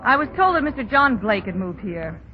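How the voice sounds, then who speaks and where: High-pitched tough 1930s racket boss. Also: female voice, very animated, a little fast. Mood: polite. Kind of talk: 1930s radio drama acting. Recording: dull 1930s radio broadcast.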